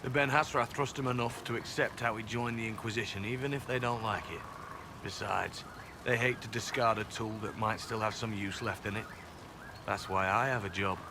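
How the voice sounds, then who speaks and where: A man's voice: on the low side, fairly even intonation, normal speed, close to an everyday voice. A man playing a guarded elf spy and former slave in a video game, speaking dryly and earnestly. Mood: matter-of-fact. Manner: dry, matter-of-fact, quietly earnest. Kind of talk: video game cutscene acting. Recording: game capture with loud ambient background noise.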